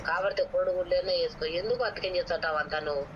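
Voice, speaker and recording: Fast-talking blunt woman on noisy call. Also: on the low side, fairly even intonation. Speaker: prank call participant. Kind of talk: casual phone conversation, scolding advice. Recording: muffled, very noisy phone call.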